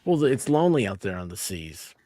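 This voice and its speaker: A man's voice: on the low side, sing-song, brisk, warm tone, no room sound. A man chatting casually on a stream who tosses in short, quippy remarks.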